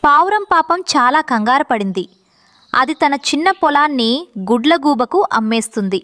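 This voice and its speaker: A woman reading scripted narration for a children's animated story. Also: sits high, normal speed, no room sound.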